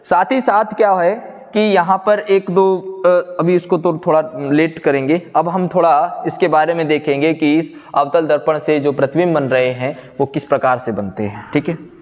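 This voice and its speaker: A man lecturing as a physics teacher, asking questions, answering them himself and repeating key phrases slowly for note-taking. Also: a little high, steady tone. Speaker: physics teacher in an exam coaching class. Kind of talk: classroom lecture, explaining step by step. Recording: echoey hall-like room reverb.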